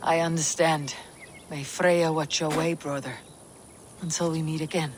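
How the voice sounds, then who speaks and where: An actress playing a commanding, steady female Viking clan leader with a measured, authoritative delivery in a video game. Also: low-pitched, background noise. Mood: solemn. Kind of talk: dramatic video game acting.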